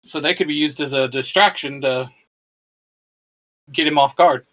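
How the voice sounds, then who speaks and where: Bright-voiced man in fan chat. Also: fairly high pitch, normal speed, poor audio. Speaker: co-host. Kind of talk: casual fan discussion.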